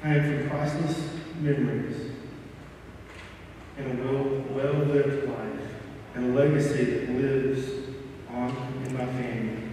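A man in his thirties delivers a eulogy from a church lectern, his voice flat and halting with emotion. The sound is heavily echoey with a long reverb tail, picked up from far off with faint room ambience behind. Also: medium pitch, slight background noise. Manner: halting and emotional, trailing off at line ends. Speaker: son giving a eulogy. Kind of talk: reading a memorial poem, then personal eulogy. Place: church sanctuary, speaking at a lectern. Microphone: lectern microphone, recorded from a distant camera.

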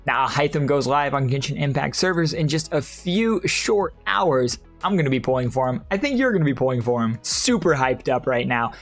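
A young man with a headset in a small room, reacting to a game trailer with excited enthusiasm. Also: medium pitch, fairly quick, hardly any pauses, faint music behind. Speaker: YouTube gaming reactor. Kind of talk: reaction video. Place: small room with colored lights. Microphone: headset and camera at desk.

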